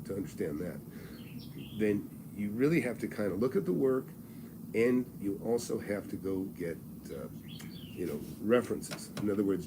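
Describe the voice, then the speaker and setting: Older male host in his sixties, low-pitched and plainspoken, pitching mentorship live over audible background noise. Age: 60s. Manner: blunt, folksy and salesy, with frequent restarts. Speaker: real estate mentor and channel host. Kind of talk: live-streamed advice talk.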